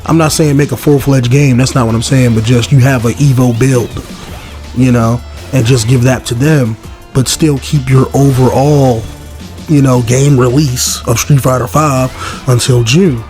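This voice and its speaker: Hoarse-voiced man rambling about a game. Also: medium pitch, steady tone, measured pace, darkish tone, faint music behind. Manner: rambling, lots of fillers and restarts. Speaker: gaming video host. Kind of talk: casual gaming commentary.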